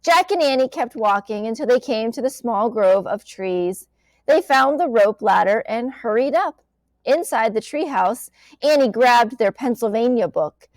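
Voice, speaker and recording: A woman reading a children's book aloud as an expressive storyteller, switching between plain narration and animated dialogue. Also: fairly high pitch, measured pace, no room sound.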